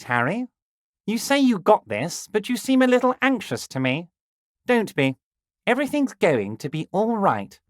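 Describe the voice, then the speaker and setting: A man voicing a smooth, scheming middle-aged male union boss in a video game, with an oily, ingratiating and patronizing manner and slow, teasing delivery. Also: sits high, sharp tone, no room sound, studio quality, full character voice. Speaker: voice actor. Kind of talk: video game character voice lines.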